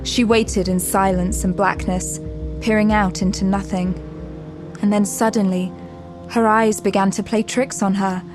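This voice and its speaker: A woman's voice: mid-range voice, steady tone, measured pace. Woman narrator over prominent music. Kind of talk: dramatic scripted audio drama narration.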